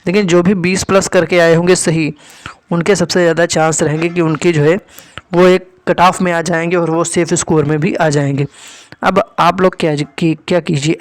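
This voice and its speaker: Higher-pitched man teaching informally. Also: dry recording. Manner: instructive, repeats key points, frequent check-in tags. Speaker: exam-prep teacher presenting expected cutoffs. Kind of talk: informal explanatory lesson to students.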